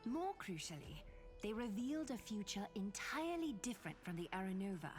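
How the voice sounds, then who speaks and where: A woman's voice: mid-range voice, sing-song, a little fast, a little mellow, faint music behind, close to an everyday voice. A woman voicing an earnest, solemn game character who explains prophecies.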